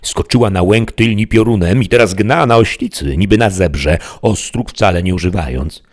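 An excitable squire gushes with flattery in a raised, eager voice, as a male narrator plays him. His tone has a husky grain. He is a boastful servant in a storybook. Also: fairly low pitch, normal speed, pitched above the performer's own voice, lightly acted. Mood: excited. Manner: effusive, pleading.

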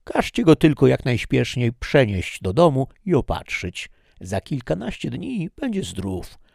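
A man voicing a calm physician who speaks in a brisk, reassuring way. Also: medium pitch, gravelly, dry recording, clean studio sound, voice pushed up, full character voice.

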